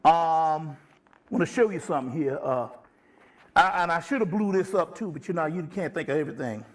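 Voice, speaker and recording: A man's voice: on the high side, a little fast. A man giving an informal spiritual lecture in an emphatic, conversational way, often checking that his listeners follow.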